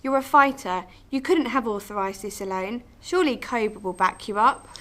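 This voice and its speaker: A woman acting a politician's supportive spouse in a radio drama, brisk and encouraging. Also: a little high, fairly bright tone, close to an everyday voice. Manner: encouraging, familiar.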